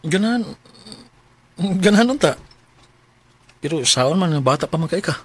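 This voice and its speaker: A man's voice: a little high, animated, moderate pace, breathy edge. A man with a Cebuano Filipino accent playing an earnest separated father in his late thirties in a radio drama. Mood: earnest, tentative. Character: earnest 37-year-old separated father courting a younger woman.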